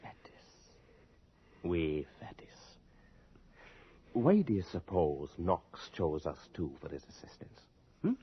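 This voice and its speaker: A man's voice: low-pitched, big pitch swings, slow pace, pauses often, heavily acted. An actor playing a worldly, ruthless medical assistant in a radio drama, sardonic and coaxing in his talk. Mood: cynical, persuasive. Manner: smooth-talking, sardonic, coaxing. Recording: dull, lo-fi radio drama recording.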